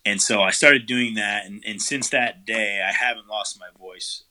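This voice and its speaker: A man's voice: lowish voice, a little slow, rough recording. A man, the guest vocalist, answering interview questions casually, with lots of fillers and loose rambling. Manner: relaxed, rambling, many fillers.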